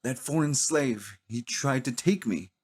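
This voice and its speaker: A man voicing a seductive, possessive mistress of an ancient house in an audio drama, quietly certain and deliberate. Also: medium pitch, breathy edge, pitched above the performer's own voice. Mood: accusing.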